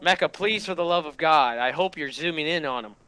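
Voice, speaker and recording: Excitable high-voiced young man, bright and forceful. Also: fairly quick, smooth. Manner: excitable, gushing, repeats himself. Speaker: reaction video host. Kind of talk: casual reaction commentary.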